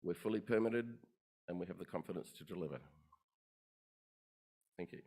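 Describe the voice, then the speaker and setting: Man, lower voice, brisk rehearsed investor presentation, crisp lists of figures. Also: dark tone. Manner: brisk, rehearsed, listing facts. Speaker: company presenter. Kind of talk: investor conference pitch. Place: conference room.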